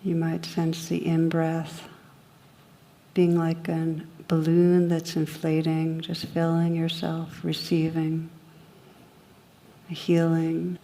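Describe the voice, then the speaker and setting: Female meditation guide: deep, dark-toned voice, soothing and unhurried, gentle delivery with generous pauses. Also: completely flat delivery. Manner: gentle, soothing, with long pauses between short phrases.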